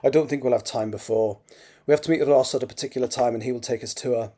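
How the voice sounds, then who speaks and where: A man voicing a calm, practical, protective male driver in a story. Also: mid-range voice, quick pace, natural voice. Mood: matter-of-fact.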